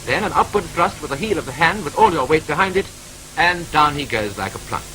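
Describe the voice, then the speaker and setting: Man, British accent, 1940s newsreel narration, lively bright delivery, faint hiss. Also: fairly high pitch, low-quality recording. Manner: brisk, clipped, wryly matter-of-fact. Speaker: training film narrator. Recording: old film soundtrack with faint hiss.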